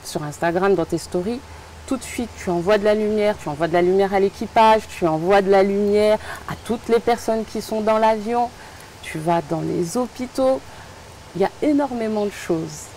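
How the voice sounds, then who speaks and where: A woman host speaks warmly and admiringly, repeating her phrases as she builds her question. Her pitch is mid-range and even. Faint background noise sits under her voice. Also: moderate pace. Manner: warm, admiring, repetitive phrasing. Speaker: interview host. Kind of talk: interview conversation.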